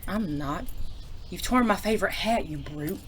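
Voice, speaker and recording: A woman's voice: on the low side, expressive, moderate pace, slight background noise, lightly acted. A woman playing an affectionate, playfully haughty outlaw in a western audio drama, with flowery, genteel diction. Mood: tender, mock-wounded.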